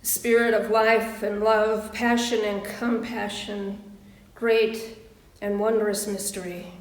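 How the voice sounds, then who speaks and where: A woman minister giving a sermon and prayer in measured, deliberate short phrases with pauses. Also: mid-range voice, level tone. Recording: roomy echo, distant microphone.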